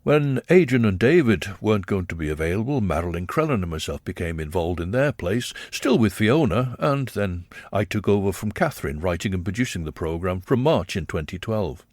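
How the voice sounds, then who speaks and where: An older Manx man with a deep voice tells his story at an even, measured clip. His pitch rises and falls a lot, giving the narration plenty of lift. The recording is close and dry. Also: dry recording. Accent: Manx English. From Isle of Man. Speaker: radio presenter and producer. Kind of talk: reflective documentary narration.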